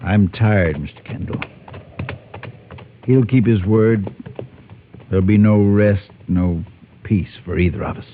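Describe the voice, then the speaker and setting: Low, weary hunted preacher. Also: male voice. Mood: weary resignation. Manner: resigned, halting, fatalistic. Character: weary, frightened male former preacher, hunted and resigned. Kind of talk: old-time radio drama acting. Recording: narrow, hissy vintage radio recording.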